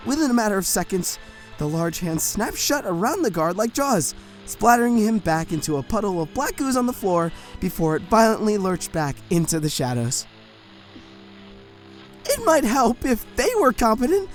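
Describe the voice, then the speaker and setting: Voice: medium pitch, lightly acted. A performer narrating in first person as a harried prison warden, frantic and exasperated, in a fan audio drama.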